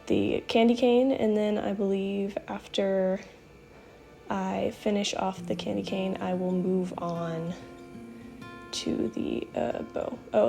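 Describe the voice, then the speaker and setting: A woman's voice: mid-range voice, creaky. Stuffy-voiced young woman, sick, chatty. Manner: relaxed, rambling with many ums. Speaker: art channel host. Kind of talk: casual coloring voiceover. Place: home room, indoors. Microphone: camera mic, then voiceover.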